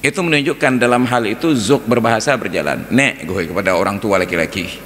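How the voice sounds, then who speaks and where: A man speaks as an Islamic teacher in a rather low voice with an Acehnese accent. His lecture is informal and conversational, built on rhetorical questions. It rings with long mosque reverb over some background noise. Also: quick pace, fairly bright tone. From Aceh, Indonesia. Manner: conversational, rhetorical questions, examples mixed with local dialect. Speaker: Islamic teacher giving a mosque study talk. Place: large reverberant mosque interior. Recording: echoey hall with background noise.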